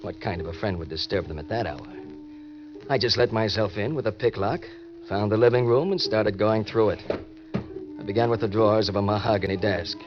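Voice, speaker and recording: A man's voice: fairly low pitch, fairly quick, close to an everyday voice. An actor playing a wisecracking, cynical ex-convict drifter, with an American accent and a wry, slangy tough-guy delivery in 1940s radio style. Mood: cool, sardonic. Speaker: narrator and lead. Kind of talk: 1940s hardboiled radio drama acting. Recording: muffled old-time radio recording with music.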